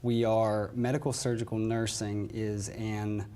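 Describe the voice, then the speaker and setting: A man's voice: fairly low pitch, level tone, unhurried, dark tone, clear, resonant tone. A courteous American man from West Virginia answering interview questions as a nurse manager. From West Virginia, United States. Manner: courteous, says yes ma'am.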